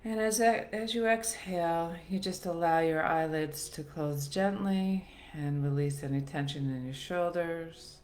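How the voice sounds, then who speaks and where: A woman leading a guided meditation in a soothing, gentle, unhurried manner with long pauses. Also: fairly low pitch, a little hiss or hum. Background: soft background music.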